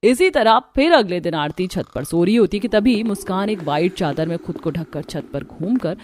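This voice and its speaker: A woman's voice: on the low side, animated, moderate pace, a little mellow, a little hiss or hum. A woman reading scripted narration for a cartoon story.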